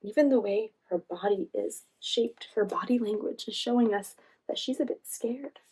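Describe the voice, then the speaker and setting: A woman hosting a children's read-aloud, reading expressively and pausing to ask encouraging questions. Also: on the high side, a little mellow, a little hiss or hum, poor audio. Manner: encouraging, asks questions and pauses for answers. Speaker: read-aloud storytime host, teacher.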